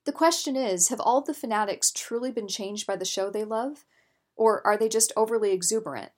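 A woman narrating scripted nonfiction as an audiobook reader, in a measured, articulate way. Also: medium pitch.

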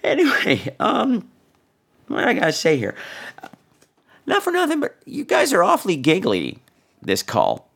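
Theatrical man with wildly swooping, creaky voice. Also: sits high, wildly swooping pitch, unhurried, pauses often, a bit bright. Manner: campy, theatrical, teasing. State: feverish, ill with flu and laryngitis. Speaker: podcast host. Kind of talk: casual, rambling solo chat responding to callers.

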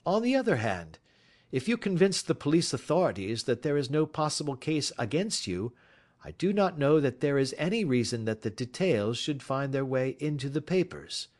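A man voicing a shrewd male detective who speaks in a dry, assured, measured way. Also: medium pitch, very animated, darkish tone, pitched above the performer's own voice, natural voice.

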